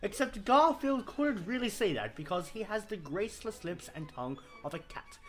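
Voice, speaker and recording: A man's voice: sits high, sing-song, moderate pace, faint music behind. A man narrating a comedic story reading in a theatrical, mock-dramatic manner. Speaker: fan fiction reader.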